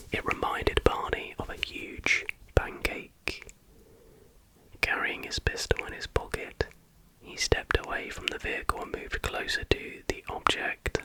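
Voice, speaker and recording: Whispering man, ASMR reading. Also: poor audio. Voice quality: unvoiced whisper throughout. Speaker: ASMR host reading aloud.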